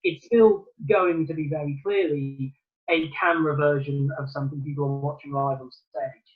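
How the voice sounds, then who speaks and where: A man's voice: fairly high pitch, fairly even intonation, normal speed, phone-quality sound. A man from Newcastle giving thoughtful, earnest answers as a theatre producer in a remote interview, with many ums and restarts. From Newcastle, England.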